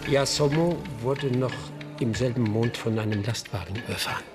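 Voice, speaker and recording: An actor playing a Ghanaian villager who tells old tales in a radio drama, vivid and dramatic, like an oral storyteller. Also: male voice, mid-range voice, normal speed, natural voice. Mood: dramatic, grave. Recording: music behind the voice. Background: music.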